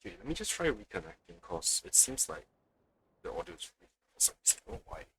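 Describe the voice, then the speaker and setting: A man's voice: on the low side, wildly swooping pitch, quick pace, vocal fry, sharp tone, a little hiss or hum, poor audio. A man giving casual, chatty let's play commentary as a game streamer, sometimes reading game text aloud. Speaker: streamer, gamer.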